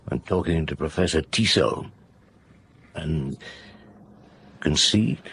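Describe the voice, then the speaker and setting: An actor playing a weary, brooding chief inspector in a radio drama, pensive, terse and authoritative. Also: male voice, a bass voice, vocal fry, heavily acted. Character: brooding, weary male French chief inspector, middle-aged. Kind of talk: British radio drama acting. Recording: background vehicle noise and ambience.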